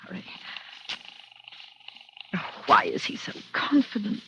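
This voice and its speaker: A woman's voice: slow pace, lightly acted. An actress playing a desperate but determined young woman, intense and nervous, in old radio mystery style. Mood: urgent, anxious. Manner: intense, nervous, stammering under pressure. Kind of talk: 1940s radio mystery acting. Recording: muffled, noisy old radio recording.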